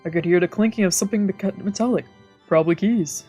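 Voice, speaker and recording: A woman's voice: medium pitch, fast, mellow. A woman streamer reading visual novel narration aloud, with casual asides. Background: faint music.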